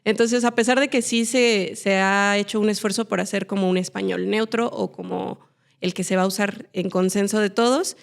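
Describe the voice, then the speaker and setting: A woman's voice: medium pitch, fairly even intonation, normal speed, gravelly. Thoughtful Mexican woman guest. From Aguascalientes, Mexico. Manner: thoughtful, uses many fillers. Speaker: podcast guest. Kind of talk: casual chat.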